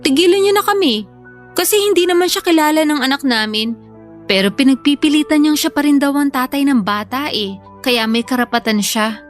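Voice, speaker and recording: Woman doing unsure single mom in an audio drama, apologetic, highish voice, soft music under it. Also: a little fast, natural voice. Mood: exasperated. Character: hesitant, soft-hearted young single mother.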